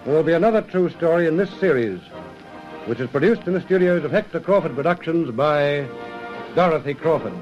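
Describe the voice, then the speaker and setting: A dull old radio recording with faint music behind. A man narrates in a formal, solemn manner with a fairly high voice. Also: expressive, fairly quick. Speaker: narrator and host. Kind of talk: scripted vintage radio narration.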